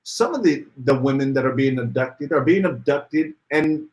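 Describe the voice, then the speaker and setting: A man co-hosting a casual podcast livestream, reading out viewer comments and getting animated as he talks. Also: normal speed, rough recording. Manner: animated, rises in pitch when worked up. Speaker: podcast co-host.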